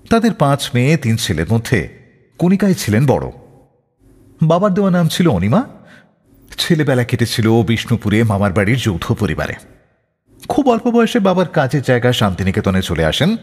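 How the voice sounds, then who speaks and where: A man hosting a radio show, narrating a scripted story in an expressive, measured storyteller's delivery. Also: mid-range voice, slightly rough, in a large hall.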